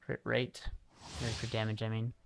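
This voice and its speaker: A man's voice: medium pitch, monotone, normal speed, creaky. A man giving casual, musing commentary on a gaming livestream, often trailing off mid-thought. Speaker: livestreamer playing a video game. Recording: game music behind the voice.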